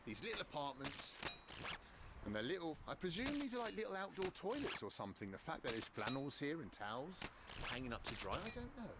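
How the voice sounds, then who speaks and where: A man's voice: on the high side, very animated, a little fast. A man talking casually and joking as he vlogs his travels through streets and a small restaurant. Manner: chatty, joking, tries out broken Mandarin. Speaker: travel vlogger. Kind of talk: casual travel vlog chat. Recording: loud street and restaurant noise. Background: loud background noise.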